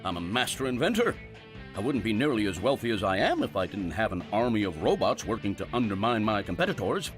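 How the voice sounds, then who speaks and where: A man's voice: on the low side, sing-song, fast talker, faint music behind. A man voicing a smug, eccentric rich inventor in a cartoon, theatrical and sing-song.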